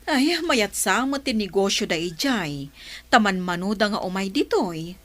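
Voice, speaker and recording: An expressive village woman in a radio play. She speaks directly at a middling pitch, with a lot of melodic movement. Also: moderate pace. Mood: friendly. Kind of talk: Ilocano radio drama acting.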